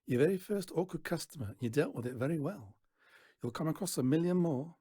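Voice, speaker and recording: A man's voice: on the high side, animated, a little fast, warm tone, husky, no echo, pitched above the performer's own voice. A man voicing a folksy, patronising fairground stallholder who mentors a boy in a storybook reading. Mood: approving. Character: brisk, exasperated older fairground stallholder mentoring a lad.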